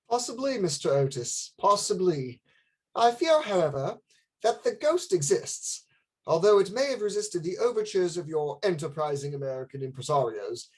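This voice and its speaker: A grave lord in a radio drama. The voice is husky and widely inflected, with courteous, stately phrasing and a formal manner. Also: male voice, high-pitched, lively intonation, normal speed, a little mellow. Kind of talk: radio play acting.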